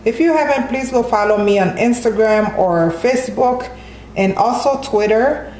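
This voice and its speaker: A woman's voice: fairly high pitch, moderate pace, a little mellow, roomy sound, a little hiss or hum, poor audio. Friendly woman hosting a cooking video. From Haiti. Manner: friendly, keeps adding "okay?" to check in. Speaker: cooking channel host. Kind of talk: step-by-step cooking tutorial. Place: home kitchen.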